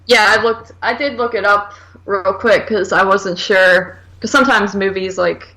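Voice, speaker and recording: A woman with noticeable vocal fry talks as a podcast co-host. She is informal and conversational, filling her casual film chat with "like". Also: medium pitch, fairly even intonation, moderate pace, sharp tone, rough recording. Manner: informal, conversational, heavy use of "like".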